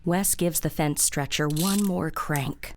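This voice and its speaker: A woman's voice: low-pitched, slow pace. A woman narrator reading scripted, present-tense narration for an audio drama. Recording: background sound-effect noise.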